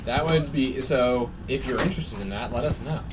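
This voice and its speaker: A man's voice: medium pitch, normal speed. A man co-hosting a game livestream, trading dry, short quips and game commentary. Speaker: co-host playing the game. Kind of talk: casual chat while streaming a game. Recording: distant mic with loud noise.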